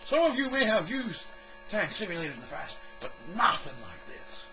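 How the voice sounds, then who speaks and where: A man playing a showy, zealous army captain lecturing with theatrical, emphatic pauses in an amateur audio drama. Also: high voice, sing-song, muffled recording, music in the background. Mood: grandly enthusiastic.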